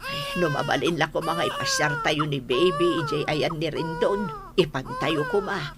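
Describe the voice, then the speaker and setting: An actress playing a caring, fussing older village auntie in an Ilocano audio drama. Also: female voice, sits low, sing-song, moderate pace, heavy background noise. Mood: doting, emotional. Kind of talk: Ilocano radio-style audio drama acting.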